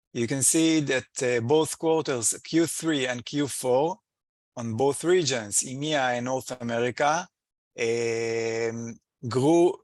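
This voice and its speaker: A man delivers a prepared financial presentation in a mid-range voice with a dry, close sound. His delivery is halting and hesitant, with slightly non-native phrasing, as he works through figures like a company executive. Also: mid-range voice, no room sound. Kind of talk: prepared earnings call presentation.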